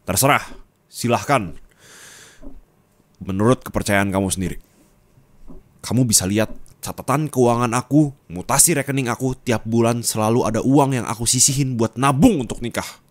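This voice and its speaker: A young man speaking an ASMR roleplay monologue as a disappointed boyfriend, low and intimate, scolding and at times rising to anger. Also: moderate pace, long pauses, no room sound.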